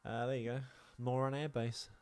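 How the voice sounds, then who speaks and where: Man with a mid-pitched voice, rambling comically. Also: level tone, normal speed, darkish tone, smooth, no room sound, soft background music. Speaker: podcast co-host. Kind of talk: casual comic chat and rambling anecdote.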